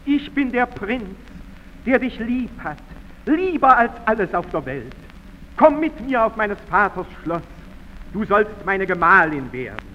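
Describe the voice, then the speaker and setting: An actor playing a gentle young prince in an old radio play, earnest and tender. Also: male voice, sits high, big pitch swings, a little slow, lo-fi sound. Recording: scratchy 1930s radio recording with hall.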